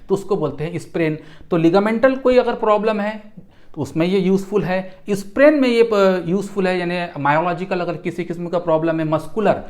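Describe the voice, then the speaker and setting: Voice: medium pitch, darkish tone. An instructor giving an explanatory medical lecture in a didactic way, restating terms and repeating phrases. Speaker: instructor in physiotherapy and Unani regimenal therapy.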